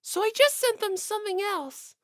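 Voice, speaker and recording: A woman's voice: very high voice, lively intonation, moderate pace, dry recording, studio quality, voice pushed up. A woman voicing a sweet, shy teenage idol girl for an audiobook, earnest and hesitant as she gushes. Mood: apologetic.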